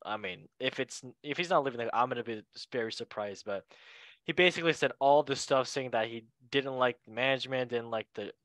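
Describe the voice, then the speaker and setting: Bright-voiced male football show host. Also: medium pitch, brisk, no echo. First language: not English. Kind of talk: casual sports chat show commentary.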